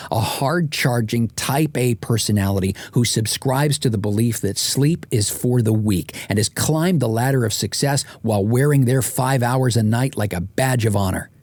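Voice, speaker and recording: A man reading his own nonfiction audiobook as author and host, in measured, deliberate first-person narration. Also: fairly low pitch, a little mellow, dry recording.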